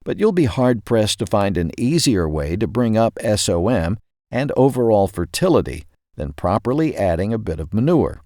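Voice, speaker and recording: Male narrator, lowish pitch, very varied intonation, scripted article reading, measured emphasis, close dry recording. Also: sing-song, warm tone, no echo. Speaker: narrator of a magazine audio article.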